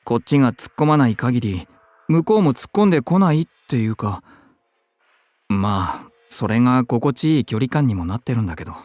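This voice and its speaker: A man voices an effeminate, fussy young man in a Japanese visual novel. He chatters quickly in expressive, feminine phrasing and gets excitable. The recording is dry and close. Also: mid-range voice, dry recording, close to an everyday voice. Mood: concerned, chatty. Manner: feminine speech, expressive, nagging.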